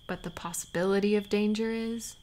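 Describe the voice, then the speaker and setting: A woman acting as a tender, worried lover of a warrior in an intimate audio roleplay, speaking softly and haltingly, sometimes close to a whisper. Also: medium pitch, fairly even intonation, slight background noise, natural voice. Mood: pensive, questioning. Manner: soft, halting, sighing, sometimes near whisper.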